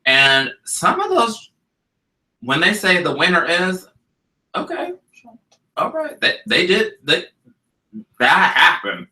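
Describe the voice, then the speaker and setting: A male co-host sounds a bit congested and nasal as he chats in an animated way. His voice is very bright and swings lively in pitch. The recording has a roomy echo. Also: slow pace, pauses often. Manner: animated, chatty, interjecting. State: congested, slightly nasal from illness. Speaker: co-host, drag performer. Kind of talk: casual chat.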